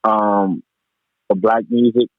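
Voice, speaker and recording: A man's voice: lowish voice, fairly even intonation, slow, no room sound. A man talking casually about music as a podcast guest, repeating phrases for emphasis, over a tinny connection. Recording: tinny, phone-like radio sound.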